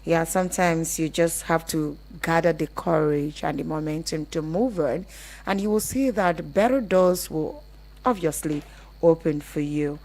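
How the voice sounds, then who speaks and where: A Nigerian woman hosts a live phone-in on the radio. Her voice sits a little low for a woman as she talks to callers and listeners. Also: a little slow, a little mellow, low-quality recording. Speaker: radio phone-in show host. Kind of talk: live call-in radio hosting.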